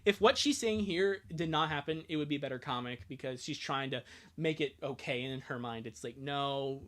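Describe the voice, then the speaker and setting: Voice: mid-range voice, brisk. A live-stream dub performer speaking casually between readings. The commentary is sarcastic and jokey, with loose swearing thrown in.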